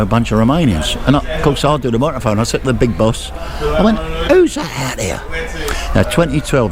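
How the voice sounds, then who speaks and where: Chatty older male stadium announcer outdoors. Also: medium pitch. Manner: chatty, jokey storyteller who acts out quoted voices. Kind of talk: storytelling chat. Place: outdoors at a football ground. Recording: crowd noise behind. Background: crowd.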